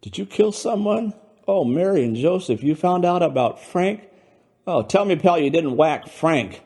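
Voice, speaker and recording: A man voicing a big, blustering, loyal diner owner in a short story, with barking, folksy, rough speech. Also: fairly high pitch, lively intonation, unhurried, darkish tone, voice pushed up, full character voice. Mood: alarmed pleading. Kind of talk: dramatised short-story reading.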